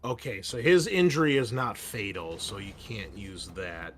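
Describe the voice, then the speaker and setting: A man running a tabletop game as its game master and host, easygoing and quick to laugh, often pausing with ums. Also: on the low side, fairly bright tone.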